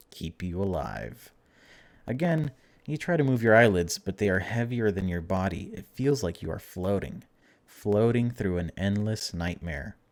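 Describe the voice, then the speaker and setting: A man's voice: deep voice, a little mellow. A man hosting a gaming video, reading story text aloud dramatically and breaking off for casual, wry asides.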